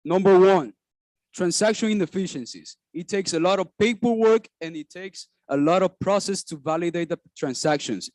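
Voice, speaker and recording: Male presenter, high pitch, rehearsed pitch talk, dry mic. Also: moderate pace, no echo, poor audio. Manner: steady, scripted delivery with enumerated points. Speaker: startup founder presenting.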